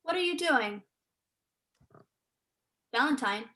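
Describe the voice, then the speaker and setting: A young woman student actor playing a sharp, unsentimental, sceptical scholar in a staged play reading. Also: high-pitched, expressive, slow pace, heavily acted. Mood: cool, teasing. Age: young adult.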